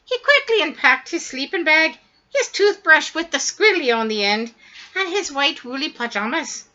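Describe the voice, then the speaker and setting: Female storybook reader, bright and very lively, dramatic emphasis, slightly dull recording. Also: high voice, normal speed. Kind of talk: expressive read-aloud of a children's story.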